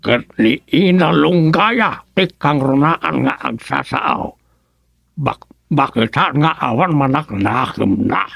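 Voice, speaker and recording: A man acting as an aggrieved Filipino villager in a radio comedy drama. He speaks slowly with an Ilocano accent, and the voice is rough, gravelly and somewhat high. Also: animated, fairly bright tone, no room sound, heavily acted.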